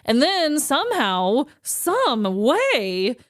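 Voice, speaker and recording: A woman's voice: high voice, huge pitch swings, extremely slow, dry recording, studio quality. A woman podcast co-host telling stories casually for laughs, dramatic and emphatic, often repeating words for effect. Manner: dramatic, emphatic storyteller who repeats words and checks in with "okay?". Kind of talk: casual comic storytelling.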